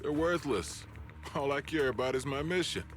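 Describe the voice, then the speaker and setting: A man's voice: a little high, animated, normal speed, fairly bright tone, faint music behind. Sneering villain henchman. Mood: taunting. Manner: taunting, sneering. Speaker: game villain.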